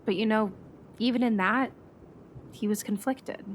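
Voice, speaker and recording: A woman's voice: medium pitch, measured pace, a little hiss or hum, lightly acted. A woman playing a grieving, conflicted meteorologist in a naturalistic radio play, speaking reflectively and hesitantly. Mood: somber, reflective. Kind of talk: naturalistic radio drama dialogue.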